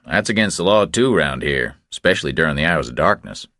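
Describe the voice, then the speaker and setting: A man's voice: very deep voice, lively intonation, fairly quick, pushed and bright, no echo, clean studio sound, full character voice. A man voicing a blunt young Texas Ranger sergeant in an audiobook, clipped, authoritative and unyielding. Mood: officious, firm.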